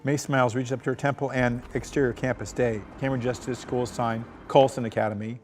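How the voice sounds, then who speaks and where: A man's voice: medium pitch, level tone, a little fast. A man narrating script directions aloud at a table read. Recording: loud music under the voice. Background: music.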